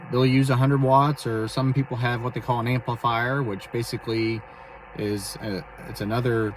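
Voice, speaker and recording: A man talking casually and haltingly as he explains his amateur radio hobby in a vlog from inside a vehicle. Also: medium pitch, little pitch movement. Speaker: amateur radio operator and video host. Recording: distant mic, clear vehicle and radio noise.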